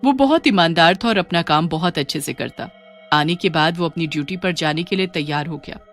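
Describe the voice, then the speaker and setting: A woman's voice: fairly low pitch, brisk, a bit bright, soft background music. A woman working as a story narrator, reading a scripted horror tale.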